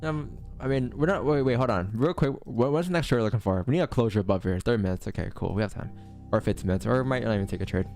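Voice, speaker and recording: A man's voice: mid-range voice, rapid-fire, gravelly, darkish tone, soft background music. A man hosting a live trading stream, talking in a loose, slangy, chatty way. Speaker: live-stream host and forex trader. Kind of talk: casual live-stream chat.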